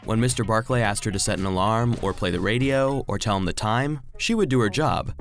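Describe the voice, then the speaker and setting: A man playing an earnest, anxious robot vacuum cleaner who narrates a children's audio drama in an expressive storytelling manner. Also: on the low side, brisk, faint music behind, lightly acted. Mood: anxious, rueful.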